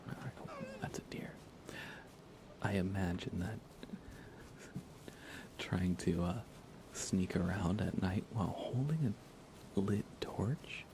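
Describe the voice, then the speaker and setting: A man speaking in a soft, breathy whisper, giving unhurried, gently playful ASMR commentary while he plays a video game for viewers. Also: on the low side, low-quality recording. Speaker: livestreamer playing a video game.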